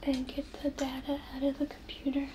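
A young woman in a whispery, breathy voice, gently and haltingly guiding the listener through an ASMR role play. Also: high-pitched, monotone, noisy background. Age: young adult.

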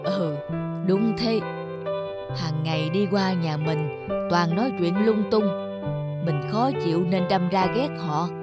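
A woman voicing a kindly elderly male neighbor in a cartoon, speaking slowly, gently and apologetically. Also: on the low side, loud music behind the voice. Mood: reflective. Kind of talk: animated cartoon voice acting.

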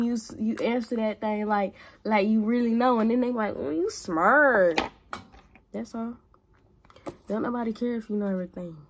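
A woman in her twenties talking casually to a phone camera while doing her makeup in a small bedroom, giving blunt big-sister advice. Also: on the high side. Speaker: vlogger. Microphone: phone camera at arm's length.

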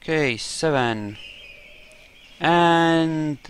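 Slow-paced, clear-voiced man commentating a game. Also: a little high, fairly bright tone. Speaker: gamer commentating on a playthrough. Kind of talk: casual gameplay commentary.

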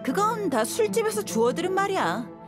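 A young desert mercenary woman from a video game, speaks in a high, swooping voice over music. She is shrewd and self-assured, with a cool, teasing edge. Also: very animated, normal speed. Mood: confident explaining. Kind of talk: video game voice acting, story dialogue. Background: background music.

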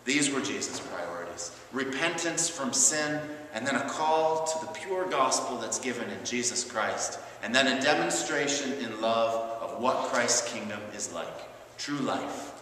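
A man in his thirties delivers a sermon from a church pulpit in a mid-pitched voice. He phrases things deliberately and leaves pauses for emphasis. The room rings with strong echo, and a little noise sits in the recording. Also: sharp tone. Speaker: Lutheran pastor. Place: large church, preaching from a pulpit. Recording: echoey church hall with faint noise.

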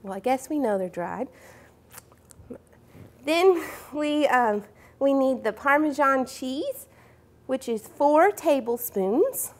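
Cooking show host: young woman, high voice, friendly step-by-step kitchen explaining. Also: lively intonation, takes it slowly, long pauses. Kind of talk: cooking demonstration. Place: home-style studio kitchen.

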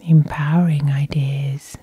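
Female hypnosis guide. Airy, barely voiced whisper, slow and soothing, recorded close and dry. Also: low-pitched, flat delivery, mellow, breathy edge, no echo. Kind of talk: whispered sleep hypnosis, ASMR guided meditation.

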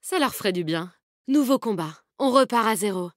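A woman's voice: medium pitch, animated, normal speed, dry recording, clean studio sound, close to an everyday voice. An actress playing a tough, confident, protective woman fighter in a video game, with a bold, cheeky, commanding manner. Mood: determined. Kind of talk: video game character voice lines, combat callouts.

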